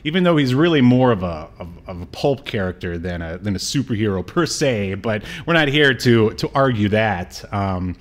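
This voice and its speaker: A man's voice: on the low side, animated, moderate pace, few pauses. A middle-aged American man chatting casually as a pop-culture podcast host, rambling with wry asides. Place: small room at home, on a video call.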